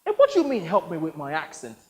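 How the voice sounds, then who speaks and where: A man voicing a brash, touchy actor who talks loudly and interrupts others. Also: a little high, wildly swooping pitch, fast talker, long reverb tail. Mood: mocking. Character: brash British male actor, cocky and touchy.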